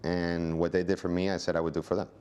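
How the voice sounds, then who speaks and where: Man, very low pitch, news interview, personal account. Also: little pitch movement, fast, darkish tone, clean tone. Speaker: interviewee, family caregiver.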